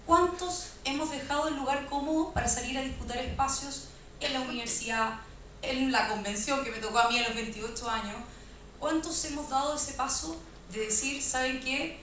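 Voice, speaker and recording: A woman in her thirties speaks on a panel with rousing rhetorical questions. Her voice sits a little high. She is far from the mic in a very echoey hall with faint noise. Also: normal speed, sharp tone. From Chile. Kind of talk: impassioned panel speech.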